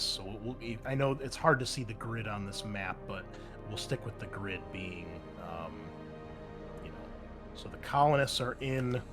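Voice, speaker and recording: A man running a tabletop roleplaying game as game master, explaining rules in a halting way and thinking aloud. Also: lowish voice. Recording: loud background music. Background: music.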